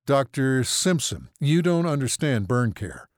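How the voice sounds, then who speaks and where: A man plays a combative male physician in an audiobook story. He is gruff and sure of his authority, speaking bluntly with animated pitch swings, recorded dry and close. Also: a little slow, no echo. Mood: confrontational. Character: gruff, blunt, burn-savvy older family physician, combative. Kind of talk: audiobook character acting.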